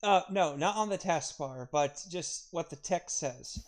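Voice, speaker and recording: A man's voice: a little high, a little slow. A man hosting a video game playthrough with casual, chatty delivery. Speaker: host of game voice-over video. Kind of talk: casual gaming chat.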